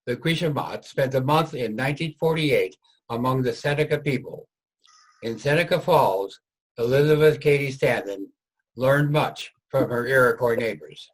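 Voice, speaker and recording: Husky man narrating history. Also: mid-range voice, moderate pace, rough recording.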